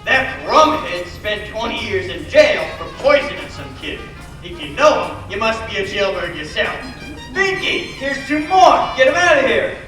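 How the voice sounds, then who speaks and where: A young woman playing gruff, suspicious working men in an old-fashioned radio play. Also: a little high, very animated, normal speed, fairly bright tone. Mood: mean, scornful. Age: young adult. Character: gruff, mean barkeep and wary cab driver. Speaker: student actor. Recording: echoey distant stage, music behind.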